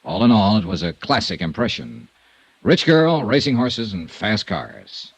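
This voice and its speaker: A man's voice: lowish voice, very animated, unhurried, lo-fi sound, a little hiss or hum. An actor playing a blunt, sardonic insurance investigator who narrates his own case in a 1950s radio noir drama. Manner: dry, clipped, sardonic. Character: hard-boiled insurance investigator, wry and blunt. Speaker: narrator and lead detective.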